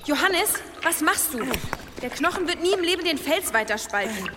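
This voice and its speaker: A woman's voice: high voice, sing-song, moderate pace. An actress playing an impatient, dry-witted young woman in a radio play, scolding and sarcastic. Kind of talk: dramatic radio play acting. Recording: reverberant cave effect, loud water noise.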